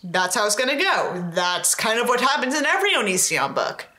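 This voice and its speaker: A woman's voice: fairly high pitch, very animated, fairly quick, sharp tone. A young woman reading a novel aloud with sarcastic, sweary commentary in a theatrical way. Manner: theatrical, acts out dialogue in raised tones. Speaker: book reviewer and host. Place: indoors in front of a tinsel backdrop.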